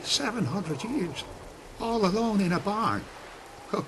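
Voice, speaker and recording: An actor playing a cheeky, fearless youngster in a video game, with a sarcastic, teasing manner. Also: male voice, sits high, lively intonation, takes it slowly. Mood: mocking. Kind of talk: video game cutscene acting. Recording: clear rain and ambient noise.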